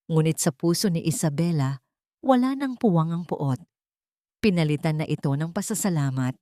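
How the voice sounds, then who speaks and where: Woman, Filipino accent, low voice, dry close sound, dramatic story narration, measured and emotive. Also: animated, warm tone, no echo. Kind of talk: dramatic, sentimental scripted story narration in Tagalog.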